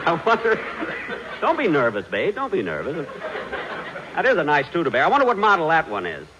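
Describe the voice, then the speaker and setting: An actor playing a vain, fussy, easily exasperated comedy star in an old-time radio show, with drawn-out reactions. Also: male voice, a little high, wildly swooping pitch. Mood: chatty. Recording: muffled, very noisy old radio recording.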